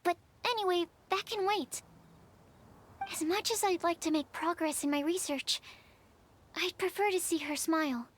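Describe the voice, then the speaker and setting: A gentle, high-voiced young alchemist. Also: female voice, normal speed, pauses often, a little hiss or hum. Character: shy earnest young woman alchemist. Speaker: alchemist researcher. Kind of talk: video game voice acting.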